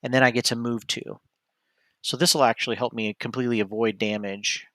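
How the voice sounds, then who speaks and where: Guy streaming a solo board game, mid pitch voice, thinking out loud n second guessing himself, dry sound. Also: steady tone, fairly quick, no room sound. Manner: hesitant, self-correcting, talks through each move. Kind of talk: casual play-by-play commentary, thinking aloud.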